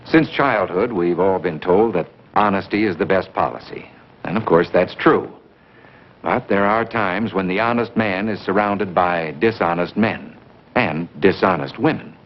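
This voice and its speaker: A man with an American accent hosting with formal, measured, wry scripted narration. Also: low-pitched. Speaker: announcer, host. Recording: dull vintage 1950s broadcast, faint noise.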